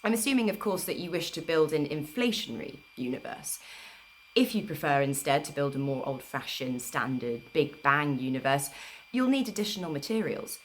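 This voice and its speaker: Lady doing story time on a livestream, slightly low voice, bit of noise, dramatic careful reading. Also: lively intonation, moderate pace. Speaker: storyteller reading an audiobook live. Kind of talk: expressive book reading. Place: informal livestream, not a studio. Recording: noisy amateur livestream recording.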